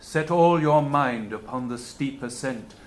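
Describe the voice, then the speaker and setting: A man speaking quietly in unhurried replies and a slow, deliberate reading. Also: mid-range voice, a little hiss or hum.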